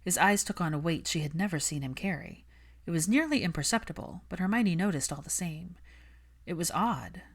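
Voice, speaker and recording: Female narrator for fiction audiobook, low register, scripted storytelling. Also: animated, a little fast, husky.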